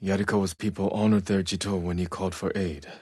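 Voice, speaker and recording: An actor playing a stoic, honorable samurai warrior in a video game, with restrained, solemn, reflective delivery and a soft-edged husky voice. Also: male voice, low-pitched, little pitch movement, fast, no echo, studio quality. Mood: solemn, earnest. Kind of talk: dramatic video game acting, reflective monologue.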